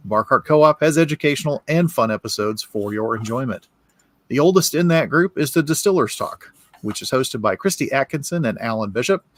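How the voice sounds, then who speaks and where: A man of middle pitch co-hosts a whiskey podcast and runs through announcements in a friendly, rambling way with plenty of uhs. His sound is dry and close, with no room echo. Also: a bit bright. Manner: friendly, rambling, with filler words. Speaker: co-host.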